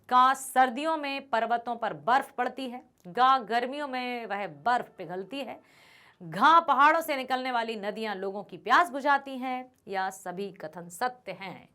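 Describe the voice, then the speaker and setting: A woman teacher works through exam questions for her students. Her voice sits fairly high and moves a lot in pitch. She reads each option aloud and then explains the answer. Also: pushed and bright. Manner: addresses students as children. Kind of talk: exam revision lesson.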